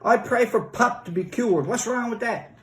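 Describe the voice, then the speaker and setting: A man's voice: very high-pitched, animated, moderate pace, pitched above the performer's own voice. A man reading a story as an earnest, indignant man who pleads and argues. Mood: pleading. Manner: earnest, argumentative. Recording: roomy echo.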